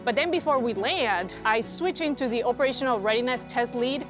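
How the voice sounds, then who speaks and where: A woman, in Spanish, tells personal stories in an interview, voicing remembered exchanges. Her voice is fairly high. Soft music sits behind it. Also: a little fast. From Colombia. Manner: acts out remembered dialogue. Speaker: NASA mission manager.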